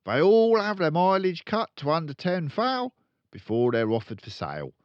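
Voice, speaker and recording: A man voicing a crooked, boastful, bullying middle-aged father in a children's storybook, loud and emphatic. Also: fairly high pitch, big pitch swings, moderate pace, no echo, muffled recording, pitched above the performer's own voice. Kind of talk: children's storybook read aloud.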